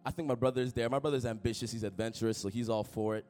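A man's voice: mid-range voice, quick pace, faint music behind. A young man preaching a sermon, telling jokey stories and calling out to the congregation. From Toronto, Canada. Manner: animated, jokey, calls out to the congregation. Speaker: pastor. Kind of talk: sermon with personal storytelling.

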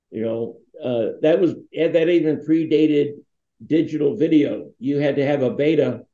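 Mellow, muffled older American man, roomy echo. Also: mid-range voice. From Pittsburgh, United States. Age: 60s. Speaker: interview guest, foundation founder. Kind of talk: reminiscing in a conversational interview. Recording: dull, roomy, echoing sound.

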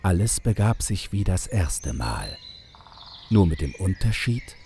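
A man narrates a fairy tale in a very deep, soft and dark voice. He takes his time with each phrase, as a measured storyteller. There is faint noise behind him. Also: very animated.

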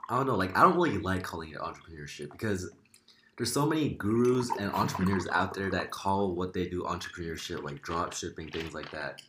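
A high school junior from Korea with an American accent, a guest chatting casually on a podcast. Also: male voice, echoey room, background noise. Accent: American English. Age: teens.

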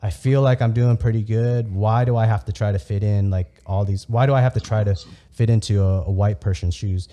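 A young adult man raised in the United States, his first language Vietnamese, talks in a casual podcast chat. His voice sits low and sounds mellow and relaxed. He speaks candidly and heatedly, swearing freely. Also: fairly quick, few pauses.

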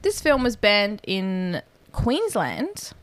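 A woman's voice: mid-range voice, huge pitch swings, takes it slowly. A woman with an Australian accent co-hosting a horror movie podcast, chatting in a wry, easy way and laughing often. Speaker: podcast co-host. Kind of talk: casual banter.

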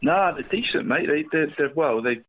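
A man's voice: fairly high pitch, animated, moderate pace, narrow, tinny audio. A man co-hosting a casual football podcast, chatty and jokey, calling his co-host mate again and again and laughing often.

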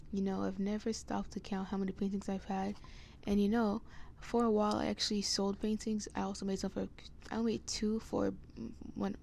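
Young woman with scratchy, creaky voice. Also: mid-range voice, a little mellow. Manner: hesitant, meandering. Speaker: podcast host. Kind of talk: casual rambling solo chat. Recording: noticeable background noise.

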